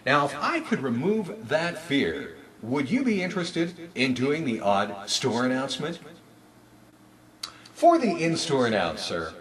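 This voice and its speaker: Man giving an emphatic presentation, very animated pitch. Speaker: presenter of a retail announcing guide. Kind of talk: scripted instructional presentation.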